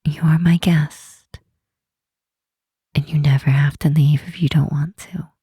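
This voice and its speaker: Woman as a breathy, low-voiced tender Demon Queen. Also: fairly even intonation, no echo, clean studio sound, heavily acted. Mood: soothing. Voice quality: soft, breathy, often whispering. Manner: slow, soothing, gently insistent. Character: protective, commanding, tender Demon Queen comforting a guest. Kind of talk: ASMR roleplay, intimate comforting monologue.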